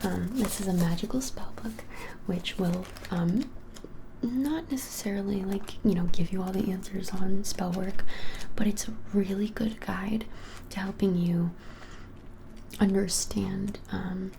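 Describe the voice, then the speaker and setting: A woman in her twenties whispering an ASMR roleplay as a shop clerk, gently and hesitantly, with many pauses. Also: mid-range voice, steady tone. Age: 20s. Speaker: ASMR creator playing a witch shop clerk. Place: plain small indoor room. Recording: clearly hissy background noise.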